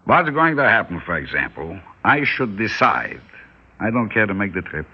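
A man playing a weary, stubborn old New shopkeeper, wry and deliberate, in an old radio drama. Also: lowish voice, sing-song, husky, heavily acted. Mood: wry, defiant. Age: older. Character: weary, stubborn old male delicatessen owner held hostage. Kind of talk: 1960s radio drama acting. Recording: dull old radio broadcast.

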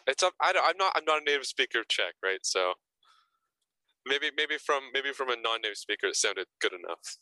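A man's voice: mid-range voice, expressive, a little fast, bright, forceful tone, no echo, poor audio. A young man being interviewed as an actor guest, answering casually and hesitantly, often repeating words and adding fillers.

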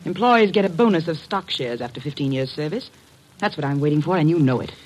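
An actress playing a sharp, long-serving secretary with a defiant streak in an old-time radio drama. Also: female voice, sits low, lively intonation, fast talker, low-quality recording. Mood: bitter, defiant.

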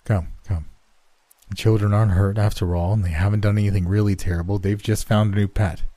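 A man's voice: lowish voice, fairly even intonation, brisk, warm tone, dry recording, lightly acted. A man doing a stern, commanding industrialist. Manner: commanding, clipped. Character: stern, wealthy middle-aged industrialist.

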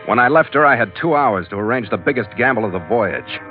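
An actor playing a terse, wry, world-weary ship's skipper in an old hardboiled radio drama. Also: male voice, mid-range voice, lively intonation, brisk, over music, natural voice. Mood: tense, wry. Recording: muffled vintage radio recording.

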